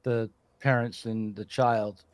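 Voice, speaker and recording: Male host, halting and searching for words, dry close sound. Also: on the low side, level tone, dry recording. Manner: searching for words, many fillers. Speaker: podcast host. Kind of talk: podcast discussion.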